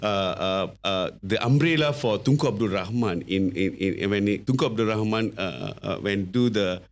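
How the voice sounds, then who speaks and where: A middle-aged man from Malaysia with a Malaysian English accent, a podcast guest telling his story in a halting way, full of fillers and restarts. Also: on the low side. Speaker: guest, company founder.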